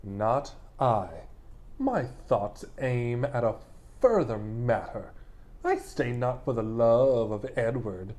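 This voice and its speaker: A performer acting a sly, ambitious, brooding royal brother with deliberate, insinuating verse delivery in a Shakespearean audio drama. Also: mid-range voice, animated, a little mellow. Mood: brooding, scheming.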